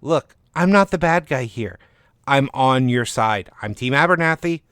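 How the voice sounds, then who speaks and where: A man's voice: mid-range voice, animated, unhurried, fairly bright tone, smooth, no room sound, pitched above the performer's own voice. Man playing an exasperated, blunt agent, dry studio sound. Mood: insistent, weary. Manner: emphatic, skeptical, argumentative. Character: exasperated, blunt male literary agent, sarcastic and pragmatic. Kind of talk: dramatised short story reading.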